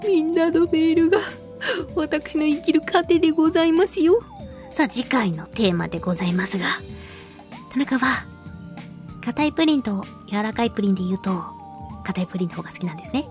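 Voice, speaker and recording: A woman hosting a casual solo radio talk show, reading listener mail in a playful, giggly, cutesy way with a husky, breathy voice. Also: high-pitched, animated, fairly quick, over music. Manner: playful, giggly, slips into cutesy exclamations and quirky old-man phrasing. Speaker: radio show host, voice actress.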